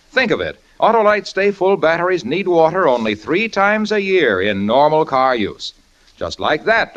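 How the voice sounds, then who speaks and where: A man's voice: fairly high pitch, fairly bright tone. A man announcing a vintage radio commercial in a folksy, salesy, emphatic style. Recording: muffled old radio broadcast.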